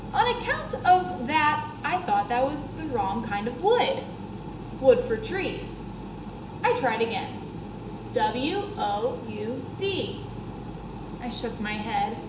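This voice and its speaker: A young woman, a first grade teacher, reading a children's book aloud to her pupils in an expressive way and talking to them in questions and explanations. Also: high-pitched. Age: young adult. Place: school classroom, indoors. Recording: roomy classroom echo, clear background noise.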